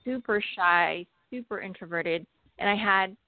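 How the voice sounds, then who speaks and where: A woman's voice: mid-range voice, measured pace, no echo. A woman from the United States, an actress, talking candidly in a casual interview with frequent laughs. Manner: candid, laughs while telling stories. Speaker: actress guest. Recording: tinny phone-like line.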